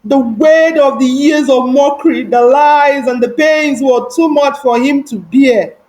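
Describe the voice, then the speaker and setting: A woman narrating a moral folktale in a solemn, deliberate, emphatic and dramatic style. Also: sits high, darkish tone, low-quality recording. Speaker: storyteller.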